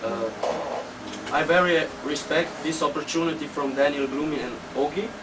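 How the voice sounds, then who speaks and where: A man in his twenties with a Slovenian accent answers interview questions casually, in halting, simple non-native English. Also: fairly high pitch. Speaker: interview guest, techno DJ and producer. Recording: distant mic, loud background hum.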